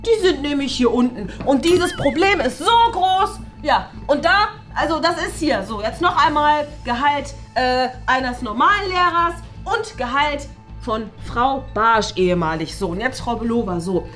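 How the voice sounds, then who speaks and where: A woman's voice: high voice, very animated, normal speed, fairly bright tone, faint music behind. A woman acting a condescending, exasperated former headmistress in a comedy sketch, lecturing pointedly. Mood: agitated, exasperated. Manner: pointed, lecturing, ends phrases with "ne?". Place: small bright meeting room.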